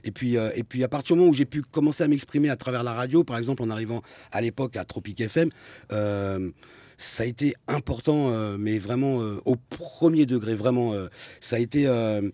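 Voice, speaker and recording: Chatty Parisian comedian, warm mellow voice. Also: male voice, mid-range voice, brisk, no room sound. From France. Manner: chatty, lively, joking, frequent restarts. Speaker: comedian guest. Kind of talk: casual radio interview chat.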